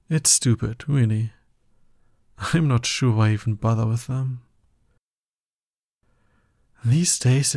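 A man's voice: mid-range voice, creaky, darkish tone, dry recording, clean studio sound. A man playing a smug, dominant villain in an ASMR roleplay, taunting his hero nemesis with drawling exasperation. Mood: scornful, wry.

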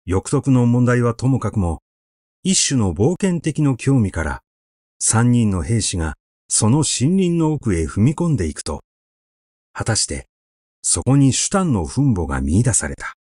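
Expressive mellow-voiced male story narrator. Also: mid-range voice, measured pace, breathy edge, dry recording, clean studio sound. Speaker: audiobook narrator. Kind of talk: literary short story reading.

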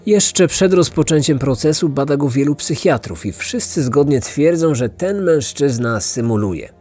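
A man's voice: on the high side, expressive, normal speed, darkish tone, breathy edge. A man hosting a podcast, reading scripted true crime narration. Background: music.

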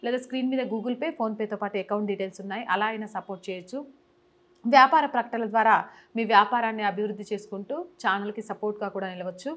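A woman in her thirties, a journalist hosting her own channel, presenting news to camera from a small indoor studio on a lapel mic with a brisk, emphatic delivery. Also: medium pitch, steady tone, fairly bright tone.

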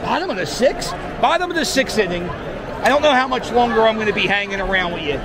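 A high-pitched, loud man in his thirties vlogs from the stands, teasing the fans around him. He holds the camera at arm's length, and a roaring crowd fills every gap behind his voice. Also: animated, fairly quick. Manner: loud, joking, heckling. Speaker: vlogger and sports fan. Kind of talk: casual handheld vlog. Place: indoor baseball stadium, crowded stands.

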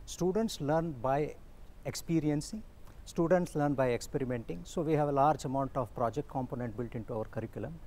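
A man's voice: medium pitch, fairly quick, warm tone. A man in his fifties, an institute director, explaining things confidently in an interview outdoors on a lapel mic. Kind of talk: interview answers. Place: outdoors on campus stairs.

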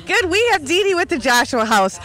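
Bright, high-voiced woman interviewer. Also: sing-song. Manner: brisk, bubbly introductions. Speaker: event interviewer. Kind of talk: roving interviews at an event.

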